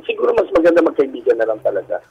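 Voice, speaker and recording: A Filipino man with a husky, effeminate way of speaking, candidly telling his personal story as a guest in an interview. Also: medium pitch, big pitch swings, very fast, highs cut off. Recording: radio broadcast with music underneath. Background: background music.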